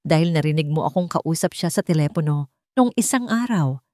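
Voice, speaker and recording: A woman's voice: deep voice, expressive, a little fast, a little mellow, no echo, clean studio sound. A woman with a Filipino accent voicing an earnest, caring older sister with a direct, questioning manner in an audio story. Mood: serious, insistent.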